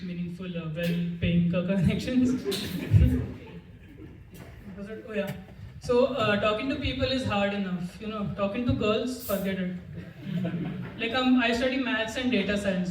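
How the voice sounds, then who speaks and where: A man's voice: high voice, normal speed. Stammering young man doing stand-up. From India. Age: young adult. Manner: stammers, awkward self-deprecating delivery. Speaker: stand-up comedian. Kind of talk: stand-up set. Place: comedy club stage with audience. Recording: roomy, distant mic with noise.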